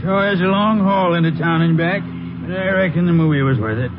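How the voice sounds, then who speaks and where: An actor playing an older Texas rancher with a folksy Texan drawl in a 1950s radio drama. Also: male voice, high-pitched, a little fast, close to an everyday voice. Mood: teasing, affectionate. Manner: folksy, drawling rustic talk. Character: older folksy Texas rancher, husband, teasing but stubborn. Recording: muffled, noisy old radio drama recording.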